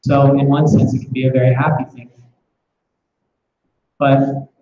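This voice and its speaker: Mellow, monotone male class speaker, reflective and halting, recorded muffled in an echoey room. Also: mid-range voice, a little hiss or hum. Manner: reflective, restarts with repeated words. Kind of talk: scripture class with questions.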